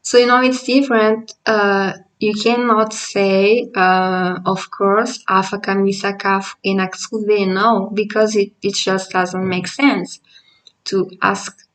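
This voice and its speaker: A woman with an Italian accent, Italian being her first language, explains a language lesson at an unhurried, patient pace, pausing often with fillers. Her voice sits at a middle pitch and is picked up from a little way off the mic. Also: flat delivery, far from the mic, rough recording. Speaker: language teacher.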